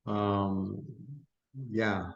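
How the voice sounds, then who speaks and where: A man from south India whose first language is Malayalam, joining a video call as a guest. His voice sits fairly low, and he talks in an earnest, reflective way with frequent fillers. The sound carries a noticeable roomy echo. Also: level tone, extremely slow, creaky, dull audio. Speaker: guest participant. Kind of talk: casual talk at an online meeting.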